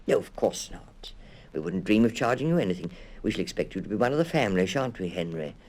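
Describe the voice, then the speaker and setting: Man reading as a brisk, kindly wife. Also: on the low side, very animated, darkish tone. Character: kindly, excitable middle-class wife and mother. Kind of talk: children's audiobook character voice.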